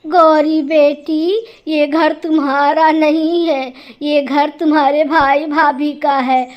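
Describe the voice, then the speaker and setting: A woman's voice: sits high, flat delivery, takes it slowly, dull audio. A woman voicing an older mother crow in a cartoon, blunt, weary, firm and fussing.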